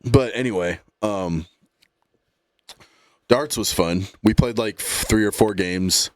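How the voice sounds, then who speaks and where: Low-voiced laid-back man in his late 20s. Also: slow pace, slight background noise. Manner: laid-back rambling storyteller. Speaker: podcast co-host. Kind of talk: casual chat.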